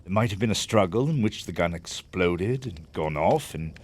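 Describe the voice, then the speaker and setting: Proud wealthy businessman, hot-tempered, forceful, formal, very varied intonation, audio theatre. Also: low-pitched, lively intonation, normal speed. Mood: confessional.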